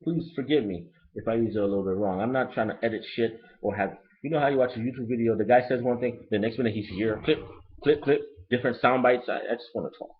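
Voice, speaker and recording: A man with a Haitian accent who grew up in Brooklyn, ranting bluntly and profanely in a casual, rambling album review. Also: quick pace. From Brooklyn, New York. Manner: profane, blunt and rambling, lively pitch swings. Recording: lo-fi dull recording.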